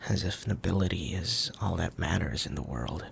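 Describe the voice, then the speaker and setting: A man playing a soft, tender young crown prince in an intimate ASMR roleplay, speaking formally with a gentle, hesitant manner and a troubled air. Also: low-pitched, moderate pace, gravelly, a little hiss or hum. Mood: earnest, protective. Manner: formal old-fashioned wording, gentle, hesitant.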